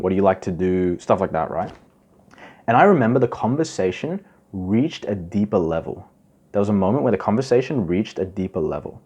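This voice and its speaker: A young man from Indonesia talks to camera in a loose, chatty way. His voice sits on the low side, and he keeps leaning on "like" and "right?" while he explains. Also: a little mellow. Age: young adult. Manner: chatty, many fillers like "like" and "right?". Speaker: self-improvement YouTuber. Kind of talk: casual advice talk to camera.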